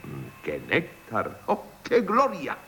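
An actor playing a vain, effusive marquis in love in Castilian Spanish radio theatre. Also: male voice, medium pitch, wildly swooping pitch, slow pace, a bit bright, a little hiss or hum, exaggerated voice. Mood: rapturous. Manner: pompous, effusive, exclamatory. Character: boastful, gushing, jealous, vain marquis in love.

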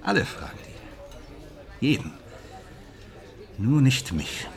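A man's voice: mid-range voice, wildly swooping pitch, takes it slowly, creaky, full character voice. An actor playing a proud, touchy stage actor in a radio drama, boastful and emphatic in his delivery. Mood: brooding, proud. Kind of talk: naturalistic radio drama dialogue.

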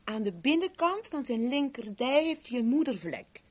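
A woman's voice: sits high, big pitch swings, moderate pace, darkish tone. An actress playing a cheeky, affectionate young mother in a Dutch radio play, playful and frank. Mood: frank, casual.

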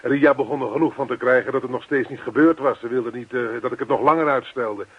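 An actor playing a jovial, pushy middle-aged neighbour in a radio play, hearty, chummy and insistent. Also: male voice, mid-range voice, fast, husky, lightly acted. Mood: chatty, coaxing. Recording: dull lo-fi radio play recording.

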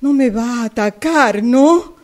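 A woman's voice: a little high, takes it slowly. A woman with an Argentine accent playing a timid, nervous young client in an amateur radio play, speaking hesitantly. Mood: frightened.

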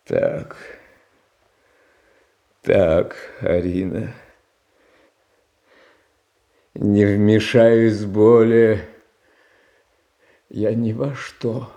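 An actor playing a meek, anxious young tsar who talks in a hesitant, confused, pleading way in a theatrical radio play. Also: male voice, fairly low pitch, steady tone, very slow, creaky, long pauses, warm tone, exaggerated voice. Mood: resigned. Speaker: title character in a radio play.

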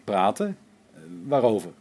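A man playing a skeptical, wary engineer on a space station in a science fiction radio play, speaking in an informal, expressive way. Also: lowish voice, unhurried. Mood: puzzled.

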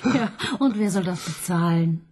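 An actress playing a middle-aged married woman detective who talks in a dry, probing and sometimes hushed way. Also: lowish voice, moderate pace, natural voice. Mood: wary, dry. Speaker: police detective. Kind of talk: radio play acting.

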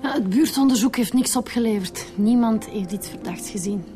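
An actress with a Flemish accent playing a chatty, keen police inspector in a radio drama. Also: female voice, fairly high pitch, normal speed, mellow, soft background music, close to an everyday voice. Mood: matter-of-fact.

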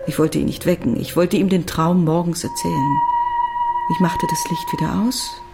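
A woman's voice: lowish voice, expressive, moderate pace, vocal fry. An actress playing a tender woman in a radio drama monologue, intimately remembering the man she loved. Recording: loud music behind the voice.

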